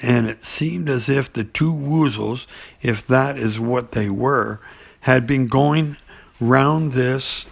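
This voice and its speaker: A man reading a children's bedtime story in an unhurried, halting way, with pauses and small stumbles. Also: medium pitch, gravelly. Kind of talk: bedtime story reading with asides and character voices.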